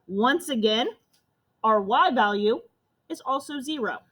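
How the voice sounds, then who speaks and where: A young woman with a loud voice, teaching a math class step by step. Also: on the high side, lively intonation, unhurried. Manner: step-by-step explaining to students.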